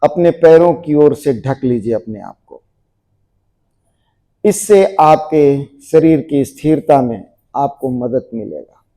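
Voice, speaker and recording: A man guiding a group through yoga relaxation in a measured, repetitive, instructing manner. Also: medium pitch, expressive, mellow. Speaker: yoga nidra teacher.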